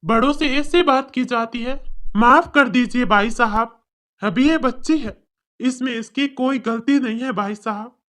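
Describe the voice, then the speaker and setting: A woman's voice: fairly high pitch, unhurried, a bit bright, pitched below the performer's own voice. A woman voicing a humble, regretful older father in a cartoon story, speaking earnestly and respectfully.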